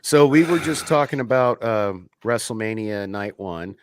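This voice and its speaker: A man hosting a casual online show, chatting loosely and getting excited. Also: lowish voice, a little slow, clean tone, no room sound, clean studio sound. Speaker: podcast host. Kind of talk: casual chat.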